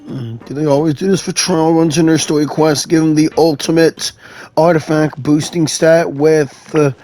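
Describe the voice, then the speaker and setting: A man's voice: fairly high pitch, fairly even intonation, normal speed, dark tone. A man giving casual gameplay commentary as he plays, reacting off the cuff. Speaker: gamer, let's player.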